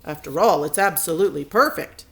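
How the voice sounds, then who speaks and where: Doting mouse parents from a children's storybook, acted by a woman reader. They soothe and reassure with emphatic, very animated delivery, a little indignant on their child's behalf. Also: sits low, fairly quick, gravelly, voice pushed down, exaggerated voice. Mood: reassuring.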